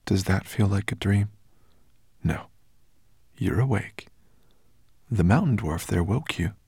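A man voicing a kind, shrewd female dragon who speaks gently and breathily. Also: lowish voice, expressive, slow pace, slightly rough, no echo, clean studio sound, full character voice. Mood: gentle.

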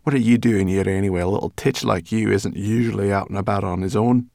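A man reading a storybook aloud, voicing a cross, suspicious female brownie girl in a raised, sharp voice. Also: on the low side, fast talker, gravelly, no room sound, clean studio sound. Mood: brusque. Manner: sharp, impatient, snappish.

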